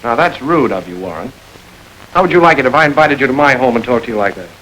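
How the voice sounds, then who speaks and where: An actor playing a smug, suave gang boss. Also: male voice, medium pitch, lively intonation, quick pace, a bit bright, a little hiss or hum, natural voice, low-quality recording. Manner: smooth, mocking, unruffled. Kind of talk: 1940s film acting.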